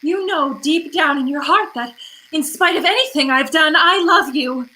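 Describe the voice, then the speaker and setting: Radio drama femme fatale, played by a woman: very high, tense female voice, pleading, seductive, melodramatic. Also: moderate pace, bright, forceful tone, poor audio. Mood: pleading, amorous. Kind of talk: old-time radio drama acting.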